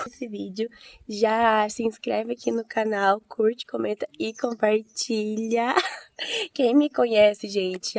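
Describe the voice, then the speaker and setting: A hoarse woman with a Brazilian accent who has a cold, telling her story in an earnest, chatty vlog as a church-minded host. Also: on the high side, darkish tone, dry recording. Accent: Brazilian Portuguese. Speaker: evangelical video host. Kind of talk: casual testimony vlog.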